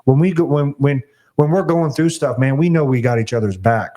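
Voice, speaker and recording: A middle-aged man hosting a podcast, with a voice of middling pitch. He talks in an animated, preachy way and often restarts his sentences or lets them trail off. The tone is casual. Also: brisk. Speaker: podcast host. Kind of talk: casual conversation.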